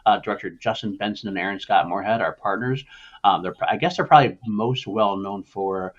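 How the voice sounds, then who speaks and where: A male podcast host chats casually in a lowish, husky voice. He talks fast but haltingly, often doubling back on his words. The audio is a little dull and lo-fi. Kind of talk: casual panel chat.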